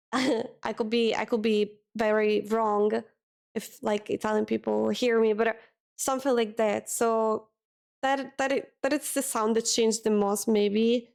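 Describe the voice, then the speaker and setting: Streamer, a woman with a slightly high voice, who speaks slowly and searches for words in casual chat. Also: little pitch movement, vocal fry, darkish tone. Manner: hesitant, searching for words, self-correcting.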